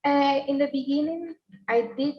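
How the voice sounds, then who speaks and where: A woman from Venezuela, answering interview questions eagerly with pauses and fillers. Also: high voice, soft background music. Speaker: guest, mechanical engineer. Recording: dull online call audio.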